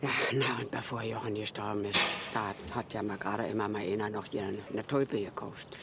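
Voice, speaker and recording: An actress playing a fond, chatty elderly widow who rambles through memories in a naturalistic radio drama. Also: female voice, very deep voice, fairly even intonation, fairly quick, creaky, heavy background noise. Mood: nostalgic, wistful. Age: older. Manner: rambling, reminiscing, with colloquial tag questions. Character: chatty, fond old Berlin widow, former flower seller.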